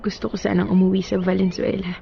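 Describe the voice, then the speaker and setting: A woman's voice: mid-range voice, level tone, fast, gravelly, dull audio, slight background noise, close to an everyday voice. An actress playing a grieving, pleading young woman who has lost her partner, in a Tagalog radio drama. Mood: subdued, sad.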